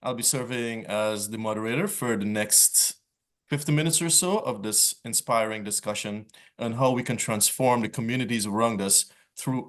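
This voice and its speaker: A man moderating a panel discussion, reading prepared opening remarks in brisk stretches. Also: mid-range voice.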